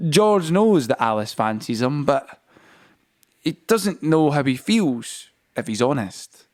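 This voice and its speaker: A man narrating a scripted audio play as a storyteller, with deliberate phrasing and dramatic pauses, over a musical score. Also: mid-range voice.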